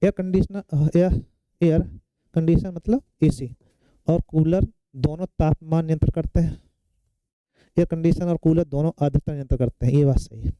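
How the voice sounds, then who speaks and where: A man's voice: on the high side, normal speed, pauses often, mellow, smooth, no echo, lo-fi sound. A man giving an exam-prep science lecture, explaining step by step and often checking in with short tag questions. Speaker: science teacher for competitive exam students. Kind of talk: classroom lecture working through exam questions.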